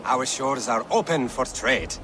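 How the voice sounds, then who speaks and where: A man's voice: medium pitch, expressive, measured pace, noisy background, close to an everyday voice. An actor playing a deluded, proud old man in a video game, rambling and grandiose. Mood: grandly welcoming. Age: older. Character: deluded old Saxon man, proud, fretful, wandering-witted. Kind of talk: video game cutscene acting.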